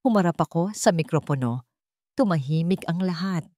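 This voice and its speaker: A woman narrates a scripted, dramatic story in a fairly low voice. The recording is dry and close, with almost no room sound. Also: expressive, normal speed, mellow, no echo.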